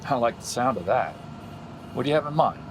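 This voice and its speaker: A man acts out an eager sidekick riding shotgun in a comic audio drama. His mid-pitched voice is lively and up for anything, with clear road noise behind. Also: a little fast, natural voice. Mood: game. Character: eager, game trucker's sidekick riding along. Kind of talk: tongue-in-cheek audio drama acting.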